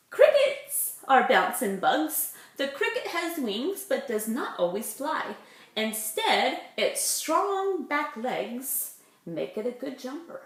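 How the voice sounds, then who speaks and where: A woman, a preschool teacher reading aloud to young children with simple, chatty explanations. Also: on the high side. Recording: echoey room.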